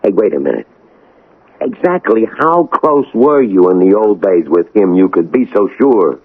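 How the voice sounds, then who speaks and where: An actor playing a nervous, suspicious small-time crook in a radio drama, grumbling, stammering and slangy. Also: male voice, lowish voice, big pitch swings, normal speed. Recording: tinny, phone-like old radio broadcast.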